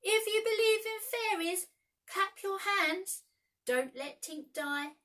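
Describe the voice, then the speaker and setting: A woman's voice: extremely high pitch, expressive, takes it slowly, fairly bright tone, distant mic, pitched above the performer's own voice, full character voice. A woman voicing a bold, proud young boy adventurer in a storybook, speaking in emphatic exclamations. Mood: anguished, urgent. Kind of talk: storybook character voice.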